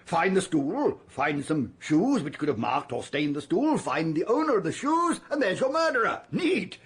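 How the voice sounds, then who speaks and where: A man's voice: sits high, sing-song, moderate pace, heavily acted. An actor playing a middle-aged, blustering and sceptical British father, master of the house in a period radio drama. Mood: pondering. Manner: gruff, dismissive, blustery.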